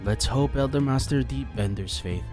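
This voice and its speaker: A man voicing a grave, measured male ninja master bringing ill news. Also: mid-range voice, over music, voice pushed up, natural voice.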